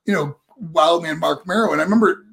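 A middle-aged man with a raspy voice, a guest and former wrestler rambling through stories with lots of fillers. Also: fairly high pitch, a little fast, a bit bright. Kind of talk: casual interview storytelling.

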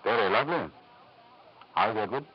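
An actor playing a polite, wry middle-aged family doctor in 1950s radio drama style. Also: male voice, on the low side, expressive, moderate pace, breathy edge. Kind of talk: 1950s American radio acting. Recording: muffled, hissy old radio broadcast.

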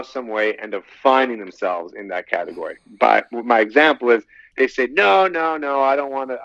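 A man's voice: mid-range voice, a bit bright, no echo. A man in his thirties, a podcast guest talking casually and at length, often repeating his phrases as he rambles. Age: 30s. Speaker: podcast guest, dating coach. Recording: dull, slightly lo-fi, dry sound.

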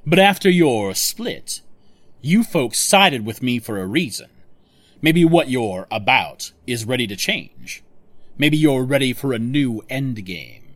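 An actor playing a cocky, ambitious corporate villain in an audio drama, speechifying smugly and persuasively. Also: male voice, mid-range voice, lively intonation, slow pace, no room sound. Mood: persuasive, grandiose. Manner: speechifying, smug.

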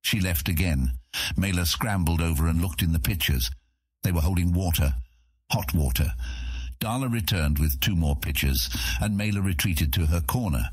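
Deep raspy guy narrating a fantasy audiobook, really dry studio sound. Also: moderate pace, dry recording.

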